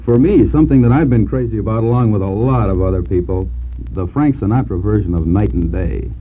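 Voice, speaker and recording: Male 1950s radio DJ, low register, halting admiring interviewer, narrow vintage broadcast sound. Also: a little hiss or hum. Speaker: radio disc jockey and interviewer. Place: radio studio. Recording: band-limited 1950s radio recording, music.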